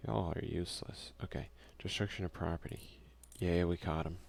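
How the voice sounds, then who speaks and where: Low, creaky-voiced man playing ASMR games. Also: steady tone, normal speed, a little mellow. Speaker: gaming video creator and player. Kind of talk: ASMR let's play, reading game text aloud and commenting.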